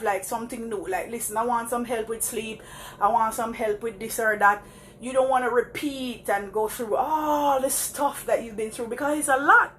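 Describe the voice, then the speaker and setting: A woman's voice: on the high side, slight background noise, rough recording. A Jamaican woman chatting casually to her live viewers while walking around the block, laughing often and calling them guys. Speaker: vlogger.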